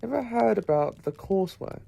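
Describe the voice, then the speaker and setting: Man as eccentric time-travelling professor, creaky and mellow. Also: high voice, expressive, a little fast, heavily acted. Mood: brisk, absent-minded. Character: eccentric, clever male time-travelling professor investigating monsters. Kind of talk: audio drama acting.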